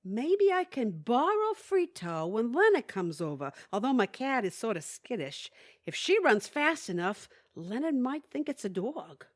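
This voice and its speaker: Animated, kvetching older widow. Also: female voice, a little high, measured pace. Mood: sheepish, defensive. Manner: kvetching, folksy, Yiddish-peppered. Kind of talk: audio theatre comedy acting.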